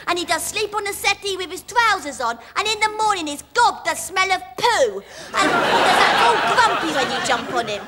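A woman's voice: very high voice, very noisy. A woman playing an earnest little girl who explains things with childish grammar in a comedy monologue. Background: studio audience laughter.

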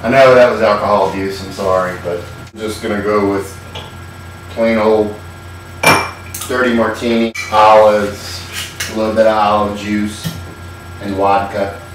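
A man's voice: lowish voice, fairly even intonation, measured pace, long pauses, a bit bright, heavy reverb, far from the mic, slight background noise, low-quality recording. A man hosting a comedic home cooking show, talking in a sardonic, irreverent way and swearing casually, in a home kitchen. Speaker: host.

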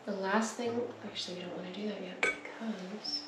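Young woman homestead vlogger, mid-pitched, relaxed chatter, recorded at a distance in an echoey home kitchen. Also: moderate pace. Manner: chatty, laughs easily, coughs at pepper fumes. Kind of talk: casual cooking demonstration. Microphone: wide camera on the counter. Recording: echoey kitchen with background noise.

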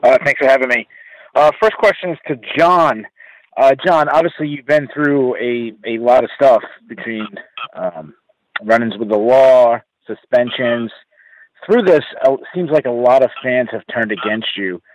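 A man's voice: medium pitch, measured pace, a little hiss or hum. Guy reporter calling in, medium voice, kinda muffled phone line. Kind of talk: asking interview questions. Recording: dull telephone conference line.

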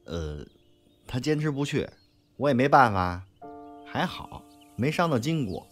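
An actor playing an informal, loyal young underling in an audio drama, slangy and hesitant. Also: male voice, medium pitch, sing-song, a little slow, faint music behind. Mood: confiding. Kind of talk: Chinese audio drama acting.

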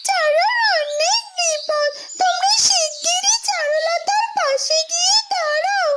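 A woman's voice: very high-pitched, takes it slowly, heavy background noise, voice pushed up. A woman voicing a small, anxious female golden bird in a fairy-tale cartoon, pleading plaintively and urgently.

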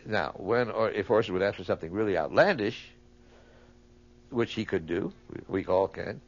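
A man's voice: fairly low pitch, expressive, normal speed. A man sharing anecdotes conversationally as an interviewee, with emphatic phrasing. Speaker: interviewee recalling colleagues. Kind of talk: animated anecdotal reminiscence. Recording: dull, muffled interview recording.

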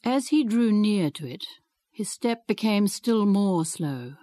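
A woman's voice: medium pitch, expressive, slow pace, dark tone, dry recording, clean studio sound. A woman reading classic fiction aloud as scripted narration for a radio programme. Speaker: reader of a novel excerpt.

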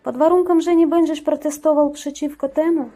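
A woman's voice: sits high, steady tone, a little fast, mellow, close to an everyday voice. A woman voicing a broken Christ figurine in a religious cartoon, solemn, firm and instructive. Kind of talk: amateur religious drama in a cartoon.

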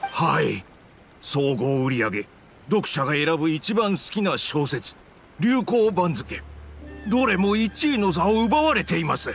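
Gravelly, deferential male editor. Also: on the high side, sing-song, a little slow, faint music behind. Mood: dismayed. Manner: formal, deferential. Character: dutiful, polite male book editor reporting to his boss. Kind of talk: anime game voice acting.

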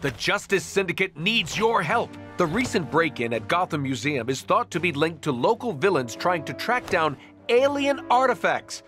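Fake-wholesome superhero psa, folksy, bright punchy voice, fairly high, music. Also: male voice, expressive, normal speed. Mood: falsely friendly. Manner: folksy, showy. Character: phony wholesome superhero man making public appeal. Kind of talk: public service announcement.

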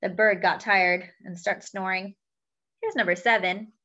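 A woman's voice: mid-range voice, steady tone, measured pace, pushed and bright, highs cut off. A young woman reading a picture book aloud to children, cheerful and sing-song, counting along, recorded on a webcam in a small room at home. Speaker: children's story time reader.

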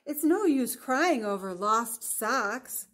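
A woman voicing a kindly, helpful male storybook friend with a gentle, reassuring manner. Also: fairly high pitch, expressive, takes it slowly, full character voice. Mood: sympathetic. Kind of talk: children's storybook character voice.

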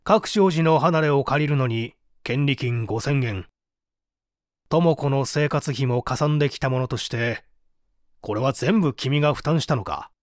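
Prosecutor, male, played by a man reader: stern, methodical, formal interrogator for crime audiobook, measured delivery, dry sound. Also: mid-range voice, no room sound, close to an everyday voice. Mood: probing. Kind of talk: dramatic audiobook reading.

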